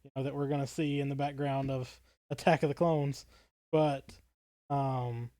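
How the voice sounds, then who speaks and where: A man's voice: medium pitch, level tone, moderate pace, mellow, dry recording. A man co-hosting a podcast, talking casually in an earnest and thoughtful manner. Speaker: podcast co-host.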